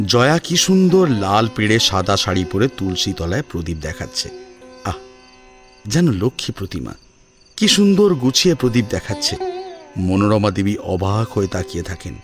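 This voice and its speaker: A man narrating a scripted audio drama in an expressive storytelling style. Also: lowish voice, normal speed, soft background music.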